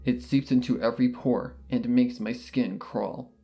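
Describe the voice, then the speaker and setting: A man narrating a fan fiction story in the first person, in a measured, earnest storytelling manner. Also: medium pitch, steady tone, dark tone, faint music behind.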